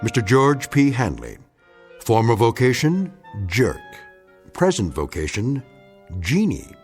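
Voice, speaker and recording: A man giving the theatrical closing narration of a radio drama, measured and deliberate. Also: medium pitch, wildly swooping pitch, over music, heavily acted. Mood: ominous.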